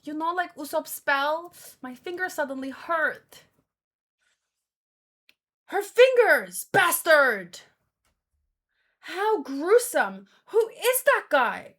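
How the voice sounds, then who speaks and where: A woman hosting a read-along in a casual live commentary style, with expressive, exclamatory reactions. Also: sits high, slow, pauses often. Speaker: host of a manga read-along stream.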